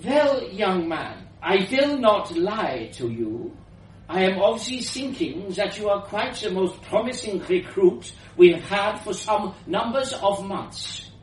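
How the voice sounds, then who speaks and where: A man voicing an elderly, eccentric woman scientist with a powerful voice, a foreign accent and halting, grandiose, bitter delivery in a story. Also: high voice, sing-song, pitched above the performer's own voice, full character voice. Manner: halting non-native grammar, grandiose, declaiming. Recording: roomy, distant mic with hiss.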